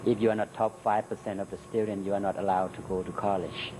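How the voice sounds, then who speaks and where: A middle-aged man with a Thai accent, Thai his first language, answering interview questions in halting English with many fillers. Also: lowish voice, flat delivery, noisy background. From Thailand. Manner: halting, many "uh" and "you know" fillers, laughs between answers. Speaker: interview guest. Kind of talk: recorded interview.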